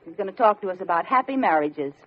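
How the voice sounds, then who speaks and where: A woman's voice: lowish voice, animated, fast. A woman playing a feisty, melodramatic American housewife with wry, theatrical delivery in a vintage radio sitcom. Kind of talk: 1940s-50s radio sitcom acting. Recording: muffled old radio recording, live audience.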